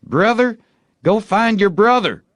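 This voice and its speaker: A man voicing an excitable, bossy young boy in a storybook, talking theatrically and in folksy dialect as he plays a bold outlaw. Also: high-pitched, unhurried, no echo, clean studio sound, voice pushed up. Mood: hopeful. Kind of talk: acted storybook dialogue.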